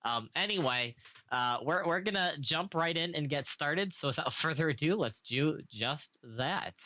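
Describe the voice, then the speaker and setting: A young man streaming a game in casual chat, recovering from illness and slightly raspy, clearing his throat now and then. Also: a little high, animated, pushed and bright. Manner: slow, chatty, occasional coughs and throat clearing.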